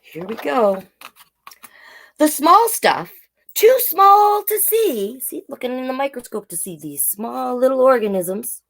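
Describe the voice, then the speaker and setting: A woman with a fairly high voice reads aloud to children as a storytime host. Her delivery is unhurried and swings widely in pitch. She is playful and encouraging, slipping from the book into chatty asides addressed to her young viewers. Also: very animated, vocal fry, a bit bright.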